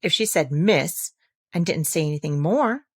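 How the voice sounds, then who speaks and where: A woman's voice: sits low, lively intonation, normal speed, husky, no room sound, clean studio sound. A woman voicing a careless, melancholy talking gnat from a children's storybook, in an offhand manner. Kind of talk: children's storybook character reading.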